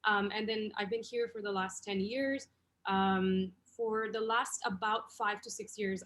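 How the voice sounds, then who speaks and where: A woman in her thirties from Bangladesh, explaining things in a measured way as an investor on a webinar panel over a video call. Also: mid-range voice, fairly bright tone. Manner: measured, explanatory, frequent ums.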